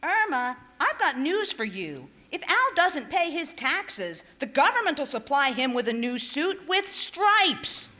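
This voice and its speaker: Woman playing the sensible fed up roommate, old radio comedy, bright voice, very animated, dry wit. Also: high voice, unhurried, hardly any pauses, exaggerated voice. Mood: wry, exasperated. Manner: patient, wry. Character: sensible, exasperated female roommate, the patient straight woman.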